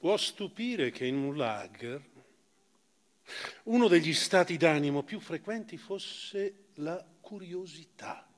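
A man giving a dramatic first-person literary reading on stage, theatrical, with emphatic rises and hushed phrase endings. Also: on the high side, expressive, slow. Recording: reverberant hall, live stage reading.

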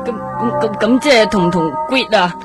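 A woman's voice: medium pitch, moderate pace, loud music behind the voice, lightly acted. A woman with a Hong Kong accent playing a jittery, easily spooked companion in a radio drama, nervous and exclamatory. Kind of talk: Cantonese radio drama acting.

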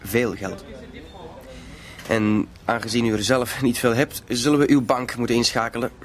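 A man's voice: medium pitch, fairly even intonation, moderate pace, close to an everyday voice. A man playing a self-assured blackmailer in a radio drama, with a mock-polite, insinuating way of talking. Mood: cool, threatening.

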